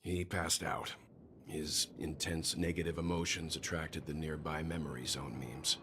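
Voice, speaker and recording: A man voicing a calm, terse, knowledgeable companion in a video game, with a measured, matter-of-fact manner. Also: extremely low pitch, background noise. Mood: calm, serious. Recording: roomy sound with game music behind.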